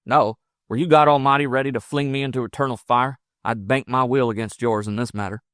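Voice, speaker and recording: A man reading as a bold, lovestruck miner from a frontier storybook. He pushes his words out with emphasis, by turns combative and coaxing, in a medium-pitched voice recorded dry and close. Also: steady tone, fairly quick, fairly bright tone, dry recording, natural voice. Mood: defiant. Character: belligerent, ardent gold-rush miner in love, man. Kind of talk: audiobook character dialogue.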